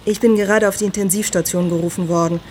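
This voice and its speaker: An actress in a radio drama playing a brisk, frank hospital doctor who talks in a direct, matter-of-fact way. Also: female voice, medium pitch, steady tone, background noise, natural voice. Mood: matter-of-fact, concerned.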